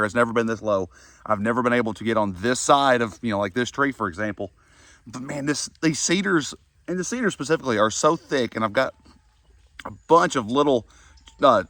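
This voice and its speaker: A young Kentucky man talks to camera outdoors, his voice hoarse and worn from a head cold. He rambles in an easygoing, self-deprecating way. The sound is dry and close, and his pitch sits at an ordinary middle level. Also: fairly bright tone, dry recording. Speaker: farm vlogger. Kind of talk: casual vlog talk to camera. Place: outdoors by a pond on a farm.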